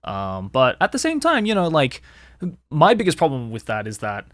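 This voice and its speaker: A man's voice: medium pitch, wildly swooping pitch, normal speed, fairly bright tone, dry recording. A young man, hosting a casual interview and talking candidly, with lots of "like" and some swearing. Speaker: host.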